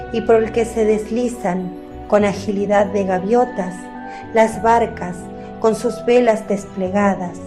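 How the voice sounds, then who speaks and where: A woman giving a measured, descriptive scripted narration as the narrator of a radio drama. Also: mid-range voice. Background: faint music.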